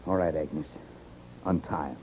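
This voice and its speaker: A man playing a desperate cornered westerner accused of horse stealing, with a rough rustic drawl, in a 1950s radio western. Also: very deep voice, steady tone, unhurried, warm tone, heavily acted. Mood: wary. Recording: noisy muffled 1950s radio recording.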